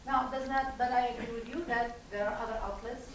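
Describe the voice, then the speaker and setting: Loud woman professor heard from across an echoey room. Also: a little high, steady tone, brisk. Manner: loud, projecting without a microphone. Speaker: professor commenting from the audience. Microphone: no microphone, picked up from across the room. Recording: echoey hall, far from the mic, noisy.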